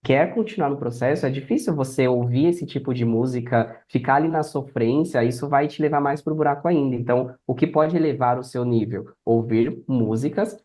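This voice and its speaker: A man's voice: mid-range voice, brisk, hardly any pauses, mellow. A Brazilian man guesting on a podcast, casually sharing personal stories and advice, repeating phrases for emphasis.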